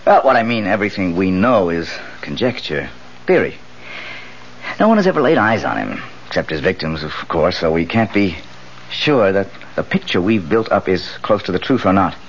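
An actor playing an eager, talkative crime reporter in a 1970s radio drama. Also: male voice, deep voice, very animated, normal speed. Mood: keen, confident. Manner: talkative, hesitant, emphatic. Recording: dull, noisy 1970s radio recording.

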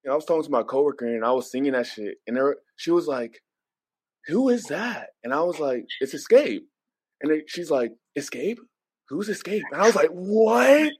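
A man's voice: fairly high pitch, expressive, moderate pace, slightly rough. A man on a casual podcast panel, telling things emphatically and conversationally in slang. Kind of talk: casual group podcast chat.

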